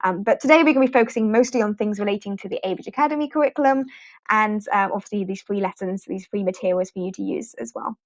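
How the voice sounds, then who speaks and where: A British woman hosts an informal livestream for fellow English teachers, chatty and rambling, with fillers and little laughs. Her voice sits at a middle pitch. The sound is close, dry and a little dull, like home streaming audio. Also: quick pace, no echo. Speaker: online ESL teacher hosting a livestream. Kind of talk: informal live walkthrough.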